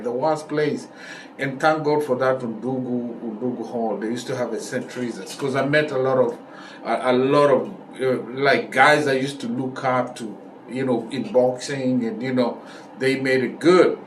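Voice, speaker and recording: A middle-aged man with a Kenyan accent telling stories casually as a vlog host, repeating phrases for emphasis. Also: medium pitch. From Kenya, raised in Nairobi. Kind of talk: casual talk to camera, storytelling. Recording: roomy echo, distant mic, faint noise.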